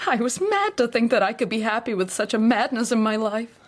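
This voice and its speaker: A woman playing an anguished young society daughter in a period radio drama, earnest and halting. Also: fairly high pitch, big pitch swings. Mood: anguished confession. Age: young adult.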